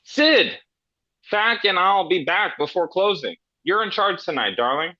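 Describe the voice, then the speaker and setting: A hot-tempered restaurant manager, read by a man who swears and picks fights. His voice is high, lively and bright. It comes through a dull, lo-fi microphone. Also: a little slow, no room sound, heavily acted. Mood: combative. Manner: blunt, swearing, combative.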